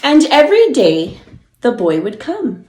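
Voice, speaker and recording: A woman's voice: a little high, huge pitch swings, unhurried, mellow, echoey room, slight background noise. A woman preschool teacher reading a storybook aloud to young children, encouraging and explaining things simply.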